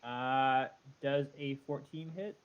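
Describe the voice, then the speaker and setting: Medium-pitched man on a slightly dull call. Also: takes it slowly, slight background noise. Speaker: player in a tabletop role-playing game. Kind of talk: casual online gaming chat.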